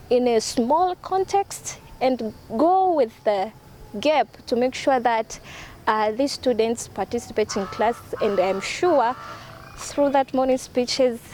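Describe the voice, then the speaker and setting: A woman with a Tanzanian accent, a teacher coach telling a classroom story in a webinar, lively when she quotes the children. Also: fairly high pitch. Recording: noisy call with background noise.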